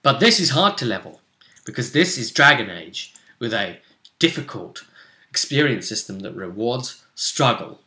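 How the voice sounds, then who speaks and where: Lively, bright-voiced man in a roomy space. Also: mid-range voice, moderate pace. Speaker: game master of a tabletop role-playing game. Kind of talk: casual tabletop game chat and narration. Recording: roomy, echoing room sound.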